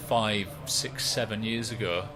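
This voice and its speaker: Wry comedian, rambling chat. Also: male voice, on the low side, steady tone, unhurried, crowd noise. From West Yorkshire, England. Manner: rambling, wry, self-mocking. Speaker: stand-up comedian guest. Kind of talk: relaxed interview chat.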